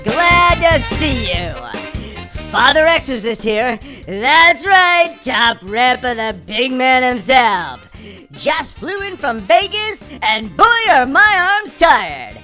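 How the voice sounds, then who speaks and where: Woman as bumbling hammy exorcist, scatterbrained, high-pitched, drawn-out delivery, comedy audio play. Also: exaggerated voice. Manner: showbiz patter, corny, scatterbrained. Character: goofy, hammy, bumbling male exorcist priest. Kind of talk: comic audio drama.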